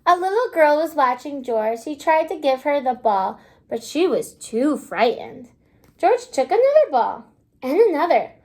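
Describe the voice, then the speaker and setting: A woman kindergarten teacher reading a storybook aloud to young children in an exaggerated, child-directed way, pausing to ask simple questions. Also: high voice, very animated, a little mellow. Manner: asks simple questions, pausing as if listeners answer.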